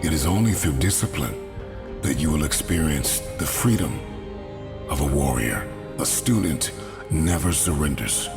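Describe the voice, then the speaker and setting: Very deep-voiced motivational man. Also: lively intonation. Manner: emphatic, deliberate, repetitive phrasing. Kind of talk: motivational speech. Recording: music under the voice.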